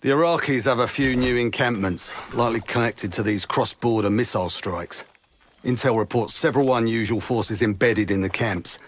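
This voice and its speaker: An actor as a brusque British military commander. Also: male voice, mid-range voice, normal speed, natural voice. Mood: brusque, dry. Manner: brusque, sardonic, clipped orders. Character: gruff British SAS commander in a war game. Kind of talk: video game cutscene acting.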